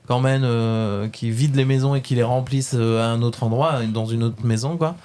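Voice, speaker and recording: A man's voice: mid-range voice, fairly even intonation, a little fast, dark tone, clean tone. A man with a French accent chats through a casual webradio interview, stopping often to hunt for words. His voice sits in an ordinary middle register. He keeps his questions friendly and easygoing. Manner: hesitant, many fillers, friendly questions.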